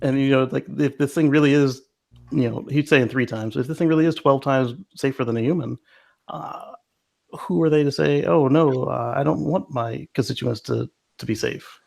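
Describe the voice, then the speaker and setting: A man with a gravelly, creaky edge to his mid-pitched voice chats on a podcast. He sounds upbeat and optimistic, chuckles often, and passes along remarks from the live chat. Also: moderate pace, a little mellow. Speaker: podcast co-host. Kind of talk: casual podcast discussion.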